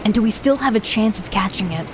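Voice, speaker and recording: A woman's voice: mid-range voice, lively intonation, fast, lightly acted. An actress playing a direct, practical woman taking charge on a prison island, in an audio drama. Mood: curious. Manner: direct, questioning. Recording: noisy background.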